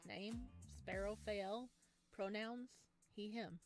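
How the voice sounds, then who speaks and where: A woman's voice: medium pitch, fairly even intonation, takes it slowly. Woman streamer reading a visual novel aloud. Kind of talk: reading game text aloud with casual commentary. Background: game music.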